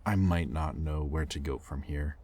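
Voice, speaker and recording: A man playing a possessive, conflicted demon in an intimate audio roleplay, with halting, sardonic delivery and secret tenderness. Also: rumbling low, warm tone, husky, full character voice. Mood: pleading, regretful. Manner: intimate, halting, sardonic, with sighs and muttered curses. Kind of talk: dramatic audio roleplay addressed to the listener.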